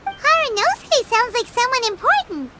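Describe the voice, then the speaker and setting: A woman voicing a tiny, cheeky fairy companion with a squeaky childlike voice in a video game. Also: very animated, normal speed, heavy background noise. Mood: chatty. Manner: cheeky, speaks of herself in third person. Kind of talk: cartoonish video game voice acting. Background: prominent game music.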